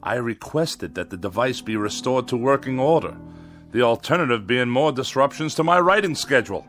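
Male actor, pompous irritable writer, sneering sarcasm, ornate wording, very animated intonation, radio drama. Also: medium pitch, moderate pace, soft background music, lightly acted. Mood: contemptuous. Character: haughty, irascible male writer who despises machines.

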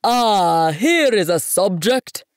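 A woman's voice: medium pitch, expressive, dry recording, studio quality. A woman voicing a pompous old male king from a storybook, speaking in a grand, imperious manner of command. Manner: grand, imperious, slowly proclaiming.

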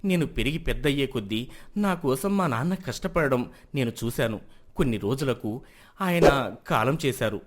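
A man's voice: mid-range voice, lively intonation, moderate pace, close to an everyday voice. A man voicing a wronged young man in a moral story, earnest and respectful as he recounts his past. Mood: earnest, sorrowful. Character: wronged young man confessing theft, earnest landlord's son. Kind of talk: dramatised moral story acting.

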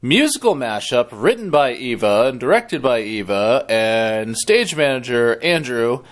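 A man's voice: medium pitch, sing-song, measured pace. A man acting as announcer, introducing a homemade puppet show.

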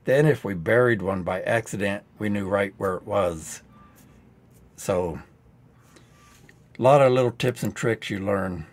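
A man in his sixties hosting a live DIY training stream, going a bit hoarse, explaining tricks in a dry-humored, rambling way on a headset mic indoors. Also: on the low side, unhurried, long pauses. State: getting hoarse. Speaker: DIY channel host. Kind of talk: live-stream teaching chat. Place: indoor studio set.

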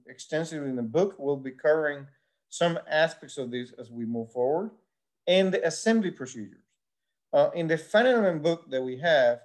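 Male engineering lecturer. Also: on the high side. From Puerto Rico. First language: Spanish. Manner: measured explanatory delivery with frequent "uh" fillers. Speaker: university instructor. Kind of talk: recorded course lecture.

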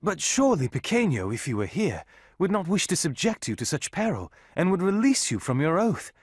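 A man's voice: a little high, very animated, fairly quick, husky, dry recording, studio quality. An actor playing an earnest, proper young swashbuckling man with formal phrasing in a video game. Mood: formal, pleading. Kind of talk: video game cutscene acting.

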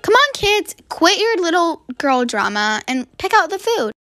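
A woman voicing a sly, falsely sweet female camp counselor in an amateur doll roleplay, brisk and directing. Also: very high voice, sing-song, sharp tone, no echo, clean studio sound, exaggerated voice. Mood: bossy.

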